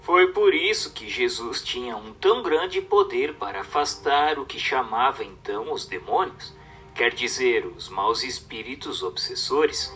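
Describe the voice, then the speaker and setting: A man's voice: medium pitch, animated, a little slow, pushed and bright, soft background music. A man reading a religious book as dramatic scripted narration, in a solemn, emphatic, declamatory manner.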